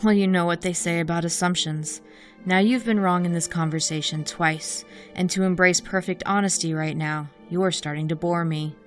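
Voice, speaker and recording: A woman's voice: on the low side, steady tone, moderate pace, natural voice. A woman playing a cunning, mocking imprisoned cult leader in an audio drama, speaking precisely and theatrically. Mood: mocking, bargaining. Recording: music behind the voice.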